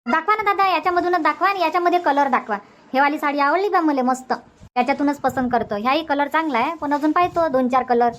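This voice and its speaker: A woman's voice: sits high, fast, a bit bright. A woman voicing an eager, chatty sari shopper in a cartoon, gushing and insistent. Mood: eager, delighted.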